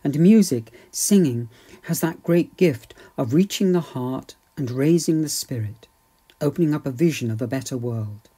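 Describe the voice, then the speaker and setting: A man giving a scripted, formal introduction to a poem in measured phrases. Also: mid-range voice, expressive, dark tone, breathy edge. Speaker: church member introducing a poem.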